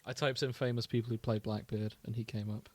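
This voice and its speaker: A man's voice: on the low side, little pitch movement, quick pace. A man co-hosting a podcast, chatting casually and telling stories in a wry, mock-serious way. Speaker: podcast host. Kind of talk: casual comic chat.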